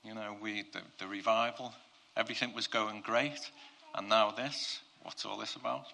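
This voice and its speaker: Man, preacher, croaky and gravelly, earnest, restarts phrases, flat low delivery. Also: normal speed, rough recording. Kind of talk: prayer and sermon to a congregation.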